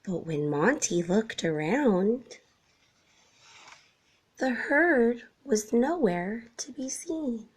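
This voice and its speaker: A woman narrates a children's storybook at a slow, careful pace. Her intonation rises and falls widely, giving the reading an expressive, animated feel. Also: on the high side, husky. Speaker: storybook reader.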